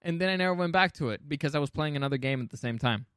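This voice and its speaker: Higher-voiced man in casual, opinionated chat. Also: very fast, a bit bright, clean tone, no echo, clean studio sound. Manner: opinionated, profane. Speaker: podcast co-host. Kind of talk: casual chat about film and game adaptations.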